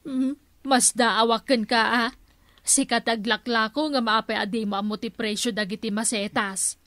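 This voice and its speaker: A woman plays an indignant neighbour in a comic radio play. Her voice is high-pitched and piercing. She scolds and insists. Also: steady tone, normal speed, a bit bright, no echo, close to an everyday voice. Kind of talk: radio comedy drama acting.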